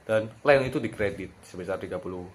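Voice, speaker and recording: A young man giving an explanatory class presentation as a university student, in a slightly halting way with self-corrections. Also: low-pitched, a little hiss or hum. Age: young adult.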